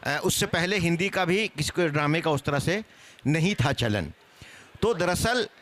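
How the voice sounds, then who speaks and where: Panelist, male. High, sharp-edged voice, scholarly explainer in live discussion. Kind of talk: spoken panel discussion on theatre history.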